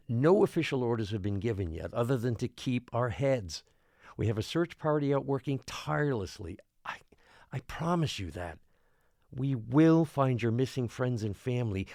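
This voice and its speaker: A man playing a harried small-island mayor in an audio drama, placating and stammering as he pleads for calm. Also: mid-range voice, no echo, studio quality. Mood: pleading. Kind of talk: audio drama acting, public town meeting address.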